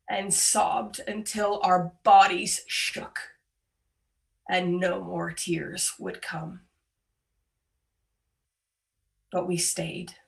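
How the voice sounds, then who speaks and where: Woman reading as a slow, grieving female disciple, distant mic. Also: lowish voice, creaky, full character voice. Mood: grieving, resolute. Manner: slow, sorrowful, deliberate. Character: grieving female disciple of Jesus, devoted witness. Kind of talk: dramatic monologue reading in worship. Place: remote, on a Zoom call. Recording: distant microphone, video call audio.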